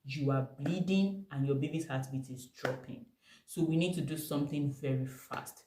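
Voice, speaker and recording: A young woman with a Nigerian accent telling a personal story to camera, animated and emphatic. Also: low voice, moderate pace, background noise. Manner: animated, emphatic retelling with bursts of laughter. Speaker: vlogger. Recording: echoey room.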